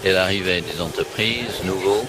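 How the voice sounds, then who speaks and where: A man's voice: low voice, normal speed. A man who grew up abroad, answering street interview questions with many hesitations. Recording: loud crowd noise behind.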